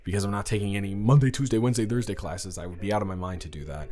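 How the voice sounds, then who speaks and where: A man playing a sweet, playfully teasing young college student in a romantic audio roleplay, speaking casually and haltingly with repeated words. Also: fairly low pitch, dark tone, close to an everyday voice. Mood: upbeat, chatty. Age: young adult.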